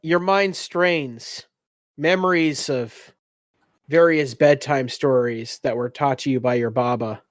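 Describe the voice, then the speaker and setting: A man improvising descriptions as game master of a tabletop game, often pausing between phrases. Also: mid-range voice, dry recording, studio quality.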